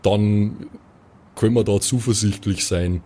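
A man voicing a gruff, folksy strongman with a Bavarian accent in an improvised tabletop audio play. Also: on the low side, steady tone, warm tone. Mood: folksy, chatty. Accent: southern German-Austrian Bavarian. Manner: folksy, unhurried, hesitant.

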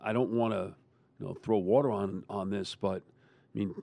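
A man's voice: fairly low pitch, fairly even intonation, normal speed, vocal fry, dark tone, dry recording. Man talking sports, dry close sound. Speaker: radio play-by-play broadcaster. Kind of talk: sports roundtable discussion.

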